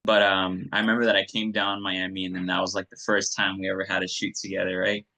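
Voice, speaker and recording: Deep, bright-toned male host. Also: little pitch movement, fast, rough recording. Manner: laid-back and friendly, with fillers like "like" and "man". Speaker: host and coach. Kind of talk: casual interview talk.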